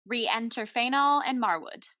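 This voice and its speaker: A woman reads stage directions as a narrator. Her voice is fairly high and recorded very dry. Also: unhurried, bright, forceful tone, no room sound. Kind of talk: scripted narration.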